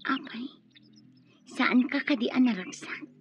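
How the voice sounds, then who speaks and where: A woman's voice: on the high side, takes it slowly, background noise. A woman acting an earnest young lover who pleads and is easily hurt, in a radio drama with an Ilocano accent. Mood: hurt, pleading. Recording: dull lo-fi audio over music.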